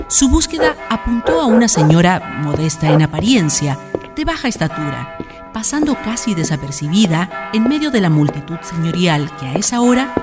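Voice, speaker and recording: Narrator: woman, lower register, solemn scripted storytelling for historical radio drama, clear music bed. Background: background music.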